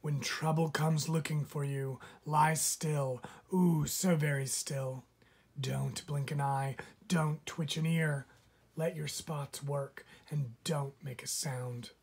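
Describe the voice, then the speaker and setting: A woman doing a gentle mother deer. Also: low voice, fairly even intonation, slow, breathy edge, exaggerated voice. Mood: tender, instructive.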